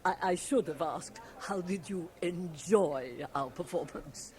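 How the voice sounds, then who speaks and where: A woman's voice: fairly low pitch, slow pace, darkish tone. An actress playing a mannish, commanding woman in a radio drama, poised, formal and slyly amused. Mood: coolly amused. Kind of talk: 1980 radio drama acting. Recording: old radio drama tape, crowded background.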